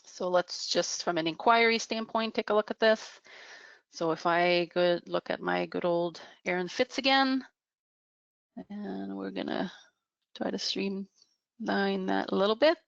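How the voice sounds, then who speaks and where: A woman presenting a software demo in a webinar, explaining step by step off the cuff with halting pauses. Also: mid-range voice, gravelly, dry recording, dull audio. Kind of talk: unscripted software demo narration.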